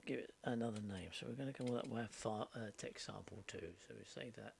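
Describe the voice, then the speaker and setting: A man narrating an informal software tutorial, explaining step by step in short, halting phrases. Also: lowish voice, creaky. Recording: steady background noise, mouse clicks. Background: faint noise and clicking.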